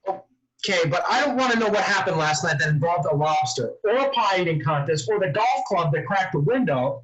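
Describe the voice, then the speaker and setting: Responsible brother, twenties, sarcastic and fed up, read by a man; higher-than-average pitch, very animated delivery, table-read comedy. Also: brisk, muffled recording. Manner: sarcastic, scolding. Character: reserved, exasperated responsible 26-year-old brother. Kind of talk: amateur screenplay table read.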